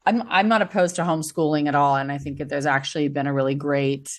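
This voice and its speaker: A woman's voice: deep voice, steady tone, fast talker. A woman guest on a podcast, a former teacher, speaking bluntly and emphatically in casual conversation.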